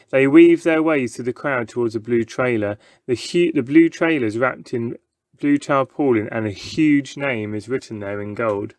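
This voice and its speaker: A man's voice: medium pitch, dry recording. A man narrating a children's book aloud from a script, with dramatic storytelling. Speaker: story reader.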